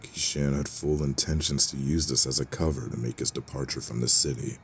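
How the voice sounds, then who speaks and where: A man narrating a fantasy audio drama in a measured, solemn storytelling manner. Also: extremely low pitch, fairly even intonation, a little hiss or hum.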